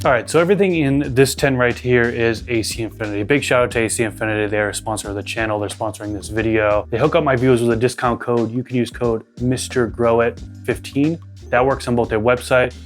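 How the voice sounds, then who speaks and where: A man's voice: medium pitch, fairly even intonation, fast, faint music behind. A man with a Boston accent hosting a gardening channel, walking viewers through his grow tent equipment in a casual, step-by-step way. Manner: conversational, explains step by step.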